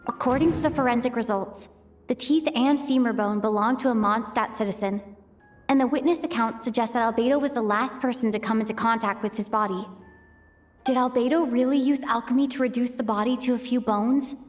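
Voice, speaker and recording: A woman plays a young female traveler thinking through a mystery step by step, weighing each clue and questioning herself as she goes. Her voice sits a little above the usual female pitch, and faint game music runs quietly underneath. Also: a little fast, lightly acted. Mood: thoughtful. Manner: methodical, reasoning aloud, questioning herself. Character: thoughtful young female traveler piecing together clues. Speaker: the traveler in a fan dub. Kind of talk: fan voice acting of a game cutscene, inner monologue.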